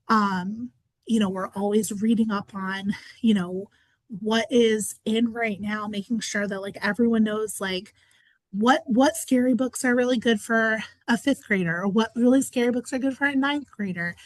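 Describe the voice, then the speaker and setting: A woman librarian with a froggy, hoarse voice, chatting casually as a webinar guest presenter over a video call. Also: mid-range voice, no room sound, poor audio. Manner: chatty, uses "like" and "um".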